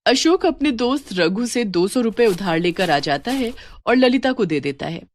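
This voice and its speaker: A woman's voice: a little high, animated, moderate pace, slight background noise. A woman narrating a scripted story as its storyteller.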